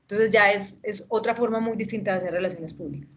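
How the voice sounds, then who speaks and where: A woman's voice: mid-range voice, fast, a bit bright, slight background noise. A woman who works in public relations, explaining her work with examples on an academic panel. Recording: dull lo-fi sound.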